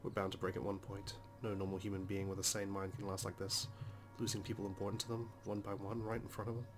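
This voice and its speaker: A man's voice: lowish voice, monotone, quick pace. A man reading first-person visual novel narration aloud as a dramatic story. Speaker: let's play host reading the game's text. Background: game music.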